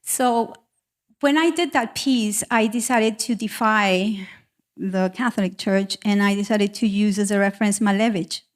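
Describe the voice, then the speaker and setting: A woman's voice: medium pitch, a little slow. Woman speaking candidly on a panel. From South America. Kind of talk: personal storytelling on a panel.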